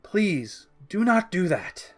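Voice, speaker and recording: Stern male villain doctor played by a narrator, formal, clipped, exasperated, scolding, mid-pitched, soft music behind. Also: sing-song, slow.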